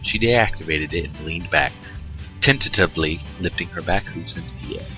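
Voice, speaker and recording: A man's voice: low voice, normal speed, music in the background. A man narrating a scripted story aloud as a co-host. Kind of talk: scripted fan fiction narration.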